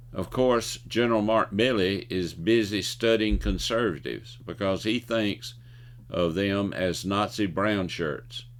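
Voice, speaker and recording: Older male commentary host, low voice, folksy and opinionated, reading from a script with occasional stumbles. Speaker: host of a video commentary show. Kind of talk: scripted commentary with jokes and anecdotes.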